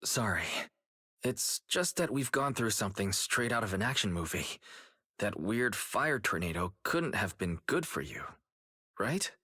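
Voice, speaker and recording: An actor voicing an earnest, caring young guide in a video game, speaking expressively with some hesitance and a gently teasing streak. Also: medium pitch, lively intonation, a little slow, husky, no room sound, studio quality, full character voice. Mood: concerned. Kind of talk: dramatic video game voice acting. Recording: music behind the dialogue.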